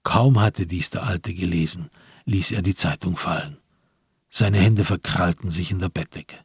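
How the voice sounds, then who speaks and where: A man narrating a literary crime radio play in a measured, deliberate and dramatic way. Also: low voice, husky, no echo, studio quality.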